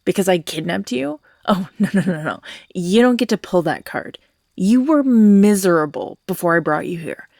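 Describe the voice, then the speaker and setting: A woman's voice: lowish voice, expressive, moderate pace, gravelly, a little mellow, dry recording, studio quality. A woman playing an obsessive, manipulative young kidnapper in a dramatic audio roleplay, theatrical, with sighs, whispers and outbursts. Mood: indignant, scornful. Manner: theatrical, swinging between whispers and outbursts, sighing.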